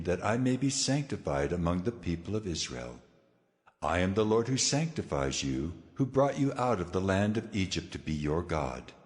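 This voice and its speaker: A man playing God as a solemn, commanding lawgiver in a dramatized scripture reading. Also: sits low. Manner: solemn, authoritative, declarative. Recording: long cavernous reverb over music.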